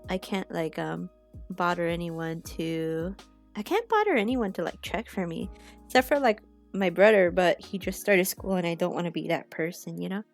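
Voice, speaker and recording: Sniffly, gravelly-voiced young woman rambling. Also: lowish voice, normal speed, soft background music. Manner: chatty, rambling, loses her train of thought with many fillers. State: sniffly from allergies. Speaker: art streamer. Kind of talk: casual rambling livestream chat. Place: home. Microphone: headset mic.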